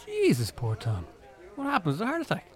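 An actor with an Irish accent playing an insistent, excitable pub regular in a radio drama. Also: male voice, sits high, fast talker. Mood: shocked. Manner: insistent, emphatic. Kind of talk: naturalistic radio drama acting.

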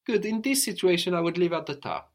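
A man's voice: high voice, expressive, fast. A man teaching a one-on-one language lesson, patiently prompting his student and ending points with a questioning "no?".

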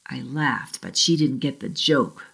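Low-voiced Boston woman reading a story. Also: expressive, a little slow, gravelly, a bit bright, husky. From South Shore of Boston. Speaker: short story writer reading her own work. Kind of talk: reading a first-person short story aloud.

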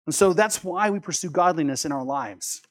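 A high-voiced man preaches as a pastor would from scripture. His delivery is emphatic, dropping quieter for asides and rising on stressed words. He repeats key phrases to drive them home. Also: animated, moderate pace. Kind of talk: sermon with scripture readings.

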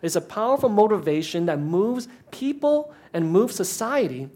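A man's voice: high-pitched, big pitch swings, normal speed, a little mellow. A man in ministry giving a conversational talk to an audience, chatty and self-deprecating, with asides and many restarts.

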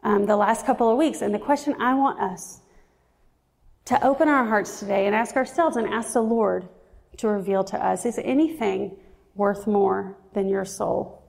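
Woman preacher in her forties, earnest and reflective, slightly gravelly, long church-hall reverb. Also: medium pitch, a little mellow. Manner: earnest, reflective, slows down for emphasis. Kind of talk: sermon.

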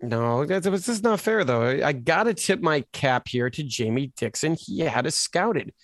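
An American man chatting casually as co-host of a sports podcast. He tells stories with energy and acts out the people in them. His voice sits a little high and sounds dry and close. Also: normal speed, no room sound. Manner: animated storyteller, acts out quotes.

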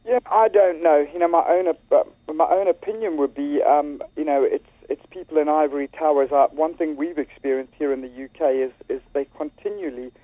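A man's voice: fairly high pitch, fairly even intonation, brisk, few pauses, dry recording. A man with a South African accent calls in to a radio show, earnest and full of restarts and repeated words. His voice comes through a tinny phone line with no room sound at all. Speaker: school leader.

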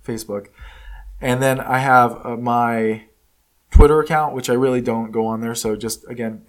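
A man hosting his own podcast and talking at his usual middle pitch, a native Spanish speaker who now speaks English. His delivery is blunt and emphatic. He often stops and restarts his sentences. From United States. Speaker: podcast host. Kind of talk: casual opinion monologue. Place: California.

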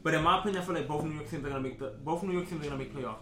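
A man's voice: fairly high pitch, racing, fairly bright tone, slight background noise. A man co-hosting a casual sports talk podcast, emphatic and profane, repeating himself as he cuts in to make his point. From born in the Dominican Republic, raised in Boston. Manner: emphatic, repetitive, profane, interjecting. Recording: roomy, echoey room.

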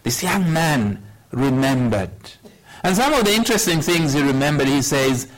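A man in his sixties preaching a sermon, telling a personal story in a measured way with wry humor. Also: fairly high pitch, expressive, low-quality recording. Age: 60s. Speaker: pastor.

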